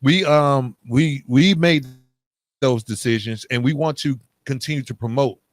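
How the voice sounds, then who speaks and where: A man's voice: medium pitch, unhurried, dry recording. A man hosting a podcast interview in a casual, affirming, conversational way, adding reflective commentary. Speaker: podcast host.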